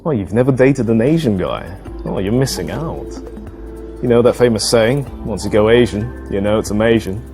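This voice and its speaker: A man's voice: lowish voice, normal speed, mellow, faint music behind, natural voice. An actor playing an eager, chatty man on a date in a dating game, talking in a casual, slightly hesitant way. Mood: earnest, flirting. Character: eager, chatty male dater making small talk on a date.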